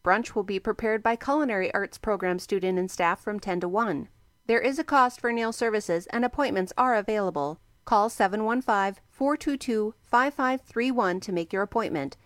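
A woman reading a scripted radio news bulletin from the news desk, in a brisk, even and formal delivery. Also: mid-range voice, no room sound, clean studio sound. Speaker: newsreader.

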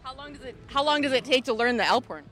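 A woman's voice: high voice, fast, fairly bright tone. A woman reporting on site at a festival, upbeat, passing the host's questions on to the guests. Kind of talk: live festival interview.

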